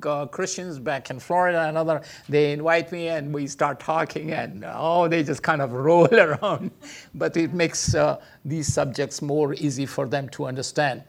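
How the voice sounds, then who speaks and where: A man giving an informal teaching talk, full of hesitations and filler sounds, speaking English with non-native phrasing. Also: vocal fry. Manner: hesitant, many filler sounds, lively pitch jumps. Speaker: speaker teaching about Islam.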